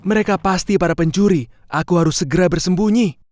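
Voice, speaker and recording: A man's voice: high voice, fast, a little mellow, close to an everyday voice. A man playing a cautious, urgent poor woodcutter in a children's cartoon fairy tale. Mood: wary, urgent.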